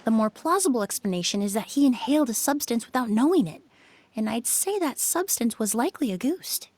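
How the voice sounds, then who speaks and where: A woman playing a calm, analytical perfumer. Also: a little high, a little hiss or hum, lightly acted. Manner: measured, analytical.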